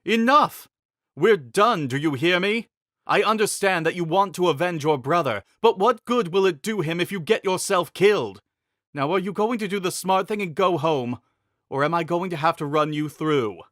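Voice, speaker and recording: Man playing an emphatic, high-pitched warrior king. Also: expressive, moderate pace, fairly bright tone, no echo, studio quality. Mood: exasperated, commanding. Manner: emphatic, earnest. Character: brave, exasperated warrior king, firm yet merciful. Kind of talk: dramatic fantasy audiobook reading.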